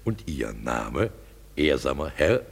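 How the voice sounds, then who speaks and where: A gravelly, jovial donkey-headed weaver. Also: male voice, very animated, takes it slowly, full character voice. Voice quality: gravelly deep voice, chuckling. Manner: mock-courtly, jovial. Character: Bottom the weaver, coarse jovial man with donkey head. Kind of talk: classic German radio play acting.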